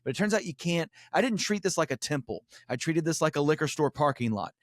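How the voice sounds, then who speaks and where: Middle-aged man, animated and wry, dry close sound. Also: on the high side, fast, no echo. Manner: animated, profane, wry philosophizing with colorful comparisons. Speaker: podcast guest. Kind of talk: casual chat, rambling storytelling.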